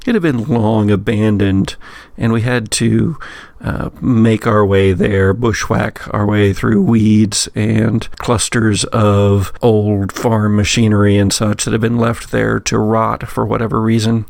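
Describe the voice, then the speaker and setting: A man narrates at an unhurried pace in a gravelly, creaky voice, close and dry. He hosts like a game master telling a spooky tale, with dramatic pauses and wry asides. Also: fairly low pitch, steady tone, darkish tone, no room sound. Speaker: podcast host and game master.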